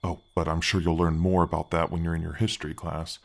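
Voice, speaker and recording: A man voicing a friendly, halting, ancient gargoyle guardian in an ASMR fantasy roleplay, with a deep voice effect. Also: flat delivery, gravelly. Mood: chatty, explaining. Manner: halting, friendly, reassuring, chatty. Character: gentle, helpful male gargoyle, ancient temple guardian.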